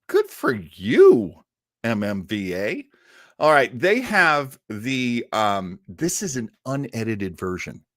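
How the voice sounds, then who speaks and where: Casual male web-show host, jokey and loose, with very animated intonation. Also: mid-range voice, no room sound, studio quality. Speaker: host of an old-time radio web show. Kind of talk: casual livestream chat reading viewer comments.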